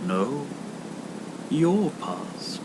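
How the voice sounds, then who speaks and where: Small gentle spirit, acted by a man: soft, low, solemn and slow, storybook style, noisy recording. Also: big pitch swings. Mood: calm.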